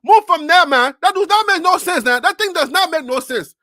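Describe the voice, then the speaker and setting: A scolding, exasperated pundit with a Nigerian accent, put on by a sports talker, who barks short indignant questions. The voice sits high and bright, and the words come out loud and sharp. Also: lively intonation, fairly quick, no room sound, clean studio sound, full character voice.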